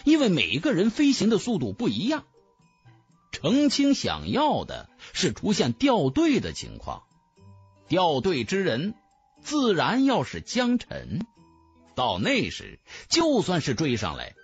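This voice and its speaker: A man's voice: sits high, breathy edge. A man narrating a fantasy audiobook in a dramatic, scripted storytelling style.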